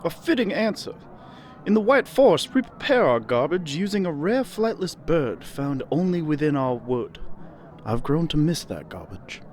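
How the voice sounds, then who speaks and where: An actor playing an earnest young male elf in a fantasy audio drama, with a formal, measured manner. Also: a little high, sing-song, darkish tone. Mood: polite, fond.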